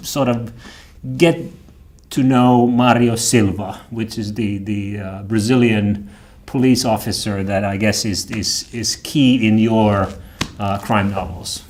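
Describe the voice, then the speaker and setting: A man hosting a casual interview, feeling his way through loosely phrased questions in a halting manner. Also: on the low side, fairly even intonation. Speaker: talk show host, interviewer.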